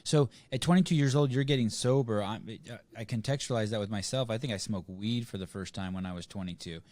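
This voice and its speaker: A man's voice: on the low side, fairly quick, darkish tone, no echo. A man hosting a casual podcast interview over a video call, blunt and teasing, pressing his guest with probing questions. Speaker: podcast host.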